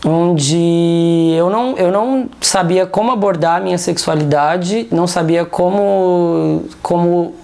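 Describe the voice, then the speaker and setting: A woman's voice: lowish voice, slow pace, gravelly, hardly any pauses, mellow. A woman in a documentary interview, speaking thoughtfully and searching for her words as she goes.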